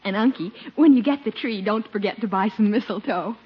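A woman's voice: medium pitch, a little fast, breathy edge. A woman playing a sweet, helpful young niece in a 1940s radio comedy. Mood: sweet, hopeful. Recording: muffled 1940s radio recording.

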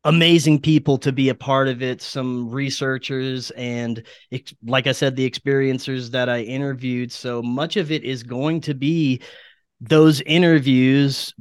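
Male American podcast guest, mid-pitched, smooth and clean voice, casual and enthusiastic, dry sound. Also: steady tone, measured pace, no room sound. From Louisiana, United States. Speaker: podcast guest, documentary maker.

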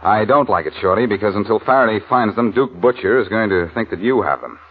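Through a tinny old radio recording comes a suave sleuth, low-voiced and slightly husky. He swings his pitch freely as he delivers glib, sardonic wisecracks, never rattled. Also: animated, quick pace. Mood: brisk, businesslike. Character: suave, wisecracking male amateur sleuth, cool and teasing. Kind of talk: 1940s radio detective drama acting.

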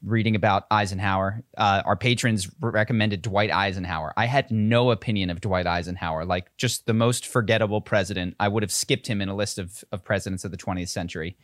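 A man with a fairly low voice chats casually on a podcast. He tells stories with eager asides. The sound is close and dry, with no room around it. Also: steady tone, no echo. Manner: enthusiastic storytelling, quick asides, laughs easily. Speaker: podcast co-host. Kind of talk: casual conversational chat.

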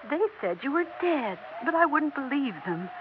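A pleading, anxious older wife. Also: female voice, high-pitched, big pitch swings, normal speed. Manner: pleading, emotional, insistent. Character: anxious, clinging older wife awaiting her husband. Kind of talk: 1960s radio drama acting. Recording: dull old radio broadcast with faint hiss.

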